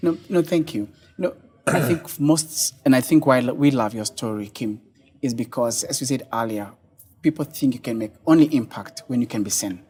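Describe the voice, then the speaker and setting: Husky-voiced man hosting a studio interview. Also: medium pitch, moderate pace, a little mellow. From Kenya. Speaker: talk show host. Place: television studio set. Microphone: lapel mic.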